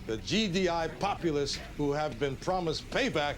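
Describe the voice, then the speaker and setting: An actor playing a smooth, self-assured, power-hungry political director in a dramatic video game cutscene, speaking emphatically. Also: male voice, on the high side, animated, normal speed, background noise. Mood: coldly commanding.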